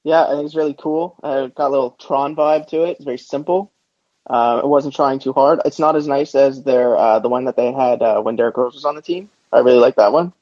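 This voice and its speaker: A man's voice: medium pitch, brisk, darkish tone, no echo. A man chatting casually and informally as co-host of a sports podcast, giving his opinions. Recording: dull, lo-fi audio.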